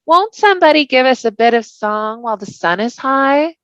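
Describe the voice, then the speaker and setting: A woman's voice: high voice, lively intonation, normal speed, fairly bright tone, no echo, lo-fi sound, voice pushed up. A woman voicing a cheerful, teasing young male hobbit who coaxes his companions, in a storybook. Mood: coaxing.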